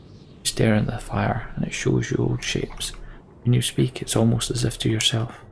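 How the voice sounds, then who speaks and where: A man's voice: medium pitch, level tone, normal speed, vocal fry, a little hiss or hum. A man playing a video game, reading its story aloud dramatically with casual, mildly sweary asides. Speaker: let's player voicing a game's text. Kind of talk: gameplay commentary and dramatic read-aloud.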